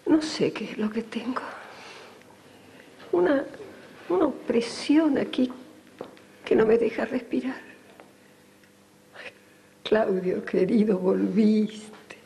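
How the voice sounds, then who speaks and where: Frail dying lover for radio soap, Argentine, high breathy voice, noisy old recording. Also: female voice, warm tone. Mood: weak, loving. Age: older. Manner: faint, halting, melodramatic. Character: frail, dying woman, devoted and loving. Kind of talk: classic radio soap opera acting. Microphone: large vintage studio microphone. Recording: noisy archive studio recording.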